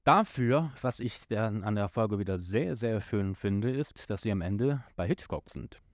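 Male podcast host, low voice, halting conversational delivery, slightly muffled recording. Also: animated, no echo. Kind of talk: casual discussion of an audio drama episode.

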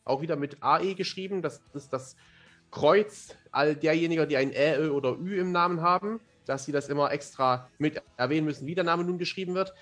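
A man's voice: a little high, fairly quick, a bit bright, faint music behind. A man from Germany, chatting casually as an interview guest in a matter-of-fact, self-deprecating way. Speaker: podcast guest, fantasy author.

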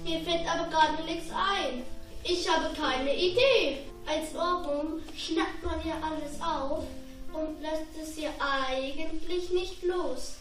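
A woman playing a lost, hesitant but chatty little earworm in a children's radio play, speaking deliberately and emphatically. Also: sits high, far from the mic. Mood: unsure, musing. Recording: roomy echo, off the mic, music behind.

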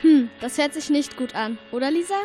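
A woman's voice: high voice, brisk, mellow, soft background music, natural voice. A female performer playing a sensible, concerned girl detective in a German radio play. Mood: worried.

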